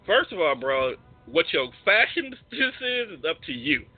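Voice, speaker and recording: A male podcast host with a husky voice and very lively intonation. He talks casually in slang, teasing as he goes. The recording is slightly dull and lo-fi. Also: on the high side, a little slow, faint music behind. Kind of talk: casual joking banter.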